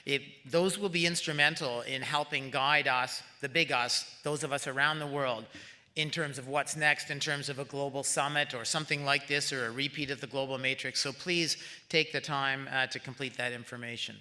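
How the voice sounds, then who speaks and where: A man giving closing remarks as host of a summit, speaking in a halting way while rallying his audience. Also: mid-range voice, steady tone, bright, forceful tone. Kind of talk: public closing speech.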